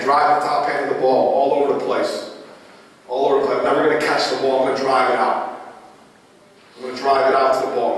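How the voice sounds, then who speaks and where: A man with a raspy voice giving a lacrosse goalie coaching clinic, repeating key phrases as he demonstrates. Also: mid-range voice, a little hiss or hum. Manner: repetitive, drilling phrases, demonstrative. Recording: echoey hall from far off.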